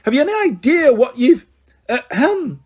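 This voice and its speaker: A man's voice: high voice, big pitch swings, fairly quick, a little mellow, husky, pitched above the performer's own voice, exaggerated voice. A man voicing a stern, strict older female professor who scolds in a clipped, furious way.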